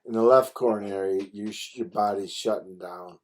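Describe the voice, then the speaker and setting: A man's voice: on the low side, moderate pace, darkish tone. An older man chatting casually on the phone, telling anecdotes in a blunt, salty way with chuckles. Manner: blunt, salty, chuckles through his stories. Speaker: phone call participant recounting his medical history. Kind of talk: casual chat, anecdotal storytelling. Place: phone conversation.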